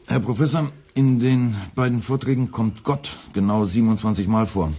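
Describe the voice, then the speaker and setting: Man playing a husky, meticulous young radio editor. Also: mid-range voice, warm tone, lightly acted. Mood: pedantic, formally polite. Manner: formal, meticulous, deliberately enunciated. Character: dutiful young male radio editor, politely precise, quietly needling. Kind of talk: radio play dialogue.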